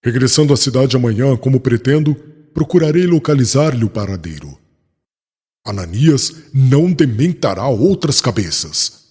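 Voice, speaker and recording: A man's voice: mid-range voice, expressive, moderate pace, darkish tone, slightly rough. A man playing a proud, wrathful young doctor of the law in a dramatized audiobook, speaking with formal, self-righteous vehemence. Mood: vengeful. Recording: long reverb, music behind.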